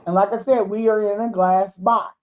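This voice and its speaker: A woman's voice: mid-range voice, fairly even intonation, moderate pace. A woman speaks in a recorded clip played on a stream, indignant and insistent that her version is the true one. The audio is dull and lo-fi. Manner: indignant, defending her account.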